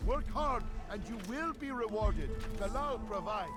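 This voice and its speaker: Video game street orator: high, sweeping male voice, fervent and persuasive, loud soundtrack behind. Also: expressive, a little slow, music in the background. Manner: declamatory, persuasive. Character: fervent street crier recruiting poor men, video game.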